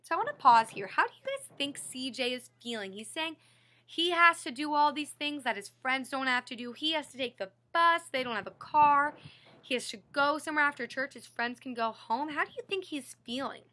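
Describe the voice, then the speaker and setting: A woman's voice: high voice, animated, moderate pace, bright, forceful tone. A woman reading a picture book aloud to children like a teacher, explaining and prompting young listeners with questions. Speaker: read-aloud storyteller and teacher.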